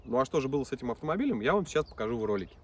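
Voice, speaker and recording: Lively-voiced man greeting viewers outdoors. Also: medium pitch, very fast, far from the mic, very noisy. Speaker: auto mechanic, channel host. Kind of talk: casual video intro.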